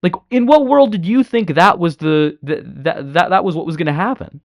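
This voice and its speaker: A man's voice: high-pitched, normal speed. A man hosting a reaction video, commenting bluntly and with exasperation, swearing often.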